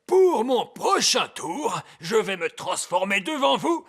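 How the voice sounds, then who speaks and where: A man's voice: high-pitched, big pitch swings, slow pace, a bit bright, exaggerated voice. An actor voicing a fussy old male elf who announces theatrically in a French cartoon dub. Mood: showy. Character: fussy old male elf, wise elder and amateur magician.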